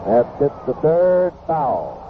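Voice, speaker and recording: A man's voice: on the high side, wildly swooping pitch, slow pace. A man with an American accent calls a baseball game live as a radio play-by-play announcer. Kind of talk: live radio sports commentary. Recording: noisy 1970s radio baseball broadcast, phone-like. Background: stadium crowd ambience and noise.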